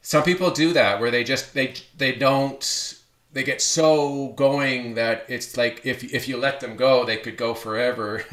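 A man's voice: medium pitch, fairly even intonation, a little slow, a bit bright. A man hosting a podcast conversation, rambling with many restarts and self-corrections. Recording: echoey room.

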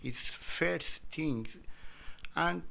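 A man guest in a conversational interview, speaking halting English as a second language in short broken phrases. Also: a little high, vocal fry, bright, forceful tone. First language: not English.

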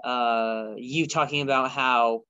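A man who stutters, a podcast guest talking reflectively, halting often with restarts and repeated words. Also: mid-range voice, fairly even intonation, sharp tone, clear, resonant tone, no room sound, muffled recording. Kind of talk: reflective conversation.

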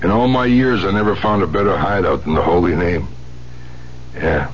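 Male actor, gruff cynical older man, low-pitched, streetwise, sardonic, 1970s radio drama, noisy vintage broadcast sound. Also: normal speed, lightly acted. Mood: sardonic, needling. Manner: blunt, sardonic, casual streetwise phrasing. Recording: muffled, hissy 1970s radio recording.

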